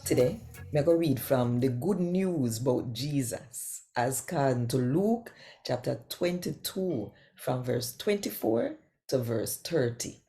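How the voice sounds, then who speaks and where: Low, unhurried Jamaican woman with gravelly edge. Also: expressive, mellow, soft background music. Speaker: host and scripture reader. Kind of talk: devotional reading in Jamaican Patois.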